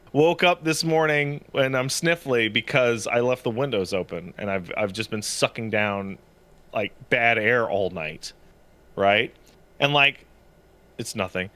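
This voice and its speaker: A man co-hosting a podcast in casual chat, sniffly, telling stories in an animated way with lots of swearing. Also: mid-range voice, unhurried. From Canada. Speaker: podcast co-host.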